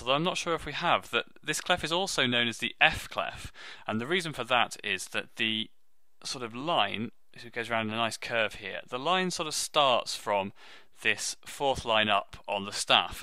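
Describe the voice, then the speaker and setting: Low-voiced man with a bright, crisp tone. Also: no echo. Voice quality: low, deep voice. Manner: friendly, self-deprecating, steady explaining while drawing. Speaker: music teacher. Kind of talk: online music theory lesson.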